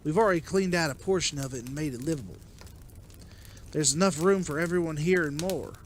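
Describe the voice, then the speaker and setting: A man's voice: on the high side, a little fast, slight background noise, lightly acted. A man playing an earnest, persuasive leader of apocalypse survivors in a dramatized comic. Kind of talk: dramatized comic-book voice acting.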